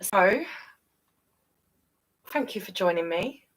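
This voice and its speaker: A woman's voice: mid-range voice, expressive, slow. A woman reading a children's picture book aloud expressively, with chatty asides straight to young listeners. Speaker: children's storyteller.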